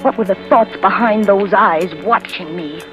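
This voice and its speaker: An actress playing a young wife who narrates her own story in hushed, uneasy 1940s radio drama style. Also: medium pitch, moderate pace, highs cut off, natural voice. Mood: suspicious. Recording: 1940s radio broadcast with music.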